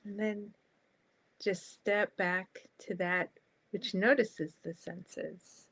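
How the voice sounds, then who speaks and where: A woman guiding a meditation in a calm, soft, spacious manner, leaving long pauses between short phrases. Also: mid-range voice, creaky, breathy edge, dry recording. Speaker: meditation guide.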